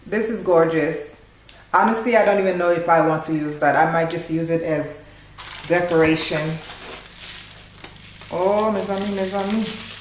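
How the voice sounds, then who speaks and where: A woman's voice: sits low, steady tone, normal speed. A woman in her thirties hosting a casual unboxing vlog at home, chatty and informal, exclaiming with delight. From Haitian background. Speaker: cooking channel host. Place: small living room at home, indoors. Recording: echoey room, clear background noise.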